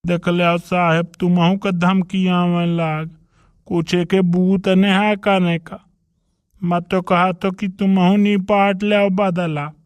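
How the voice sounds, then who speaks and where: Man acting high-voiced, quarrelsome Bundelkhandi villager. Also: level tone, slow, smooth, no echo. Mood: argumentative. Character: quarrelsome, blustering rustic man in a village comedy cartoon. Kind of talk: dialect comedy cartoon dialogue.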